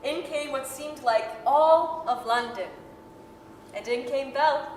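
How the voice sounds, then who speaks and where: A woman acting as a vivid, measured storybook narrator in a staged 1930s-style radio drama. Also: high voice, a little mellow. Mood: evocative. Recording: echoey hall, faint noise.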